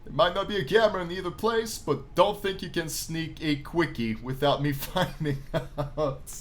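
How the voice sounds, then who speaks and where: A man's voice: on the high side, normal speed, lightly acted. A man voicing a world-weary, blunt, slangy male nightclub boss in a visual novel game. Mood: weary, warning.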